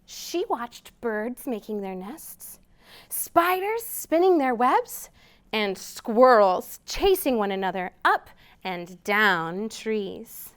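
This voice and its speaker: Female storytime presenter for young children: high-pitched, smooth tone, expressive delivery with simple prompts. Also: takes it slowly. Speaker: children's storytime host at a library. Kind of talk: storytime read-aloud and chat to young children.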